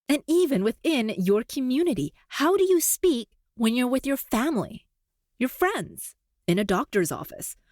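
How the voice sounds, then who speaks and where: A woman in her forties, a native Cantonese speaker, hosting a podcast in an upbeat, clear and deliberate scripted monologue. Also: a little high, darkish tone, no room sound, studio quality. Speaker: podcast host.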